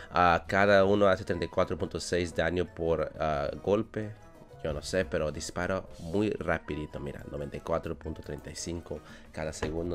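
A young man with a low, deep voice chats casually through his Spanish, which carries an English accent. He restarts his words and laughs often, as a gaming YouTuber recorded at a desk mic. Quiet music plays faintly underneath. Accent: English-speaking learner of Spanish. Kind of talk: casual game commentary. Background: soft background music.

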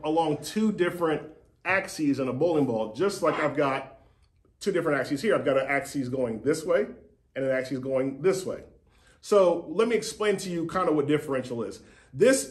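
A man in his forties talks through bowling equipment in a relaxed, conversational way, handling props as he goes. His voice sits a little high for a man. He is recorded by a fixed camera at desk distance in a bright showroom. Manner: demonstrates with props, conversational, repeats points. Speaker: company CEO explaining bowling equipment. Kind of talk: casual explanatory demo to camera.

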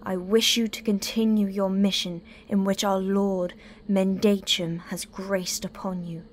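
A woman playing a solemn, fanatical mother superior of a sacrificial sisterhood in an audio drama, speaking in a ceremonial, deliberate way. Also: mid-range voice, flat delivery, slight background noise, natural voice. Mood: solemn, reverent.

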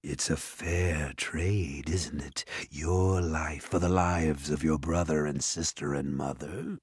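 Hulking ogre villain, painfully slow. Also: male voice, steady tone, breathy edge, dry recording, studio quality, full character voice. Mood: soothing menace. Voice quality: deep, booming, growling. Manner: slow, commanding, menacing. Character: hulking menacing male ogre villain, booming and boastful.

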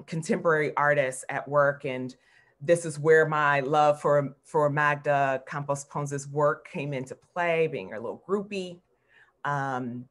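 A woman with an American accent and a low voice gives an informal slide talk over a video call. She speaks reflectively, pausing and repeating words for emphasis as she goes. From Newark, New Jersey, United States. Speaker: art history professor, guest speaker. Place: indoor room at home, on a video call. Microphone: video call computer microphone.

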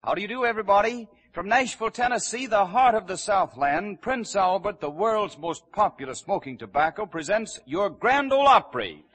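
A man's voice: high-pitched, expressive, moderate pace, hardly any pauses, noisy background. A man announcing a variety show in the style of 1940s radio, on a vintage broadcast recording. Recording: vintage 1940 radio broadcast, music behind. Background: music.

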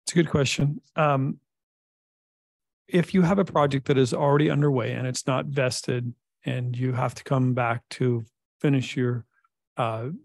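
A middle-aged man, a public works director, presenting a technical report at a council meeting in a measured way with frequent filler pauses. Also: medium pitch, vocal fry, a little mellow, dry recording. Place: city council chamber.

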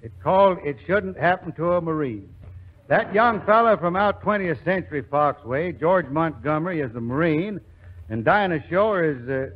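A man hosting and narrating in a folksy 1940s radio style. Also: fairly high pitch. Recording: dull 1940s radio recording.

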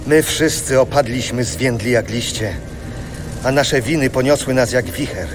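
An actor playing a solemn prophet pleading with God in a dramatized audio Bible. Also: male voice, medium pitch, lively intonation, moderate pace, lightly acted. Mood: fervent pleading. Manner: solemn, beseeching delivery. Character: pleading prophet lamenting his people's sins to God. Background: music.

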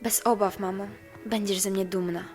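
A woman's voice: mid-range voice, steady tone, moderate pace, lightly acted. Woman voicing an earnest young princess over music. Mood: resolute. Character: idealistic anxious young princess of hell, storytelling. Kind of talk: fan-dubbed animation, storybook narration and dialogue.

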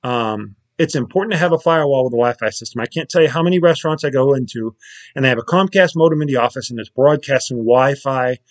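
A man's voice: mid-range voice, fast. A man in his thirties giving a casual screen-share tutorial from a small office over a webcam mic, plain-spoken and often checking in with "okay?" and "right?". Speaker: security installer giving a tutorial.

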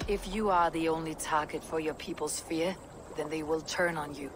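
Woman voicing a low-voiced tough Viking warrior woman. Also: fairly even intonation, moderate pace, noisy background, close to an everyday voice. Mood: grim resolve. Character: tough, guarded female Viking warrior, blunt and probing. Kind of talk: video game cutscene acting.

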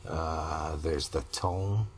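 A middle-aged man speaks as a client in a counseling conversation. His voice is low and his pace very slow, coming out in short, halting fragments. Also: fairly even intonation, breathy edge. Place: small TV-style studio set, indoors.

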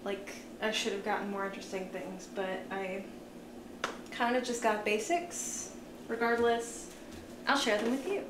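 A woman vlogger chatting casually through a grocery haul, relaxed and full of fillers, sounding tired. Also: mid-range voice, measured pace. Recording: echoey room with noise.

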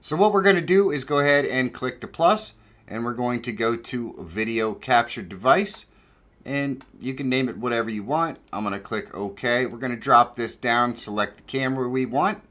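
A man in his fifties with an American accent, giving a casual software tutorial in a plain-spoken, conversational way. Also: medium pitch, normal speed. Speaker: tutorial presenter. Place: small indoor home studio.

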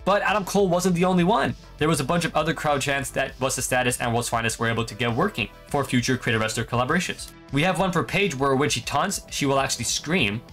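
A man's voice: mid-range voice, fast, few pauses, a bit bright. A man in his twenties from Canada presenting a video game update to viewers from a bedroom. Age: 20s. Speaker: gaming video creator and host. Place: small bedroom, indoors. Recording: music behind the voice.

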